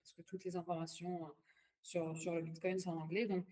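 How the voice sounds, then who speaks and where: Mellow-voiced woman, halting and chatty. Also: fairly low pitch, flat delivery. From Palestine. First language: Arabic. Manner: halting, many fillers, mixes in English phrases. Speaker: podcast guest. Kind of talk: casual interview chat.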